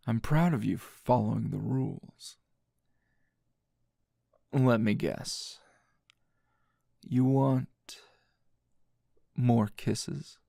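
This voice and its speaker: A man's voice: on the low side, gravelly, dark tone, no room sound, studio quality, full character voice. A man playing a teasing, dominant, seasoned anime hero as a tender lover in an intimate ASMR roleplay, murmuring breathily and slipping into whispers. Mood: tender, sultry.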